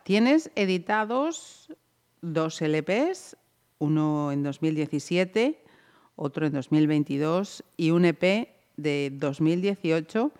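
Measured, low-pitched woman interviewer. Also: a little mellow, clean tone. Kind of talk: radio-style interview.

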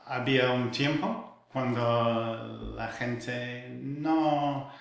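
Radio guest, 60s English man, slow, gravelly, halting second-language speech. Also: mid-range voice, steady tone, reverberant. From England. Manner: halting, many hesitations and restarts.

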